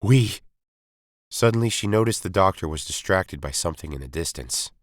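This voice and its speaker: A man's voice: sits low, lively intonation, quick pace, no room sound, studio quality. A man reading a scripted science fiction story as its narrator. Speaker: story reader.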